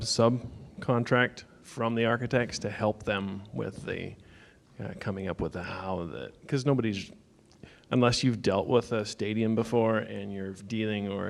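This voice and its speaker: Gravelly low-voiced panel speaker. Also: male voice. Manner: matter-of-fact, explanatory. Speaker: security consultant on a panel. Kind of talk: conference panel talk. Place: conference stage.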